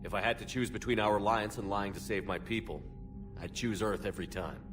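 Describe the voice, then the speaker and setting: An actor playing a stern human military commander in a video game, speaking bluntly and with authority, with music behind him. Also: male voice, fairly low pitch, steady tone, fairly quick, natural voice. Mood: stern, guarded. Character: stern, hard-nosed human military commander, adult man. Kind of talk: video game cutscene acting.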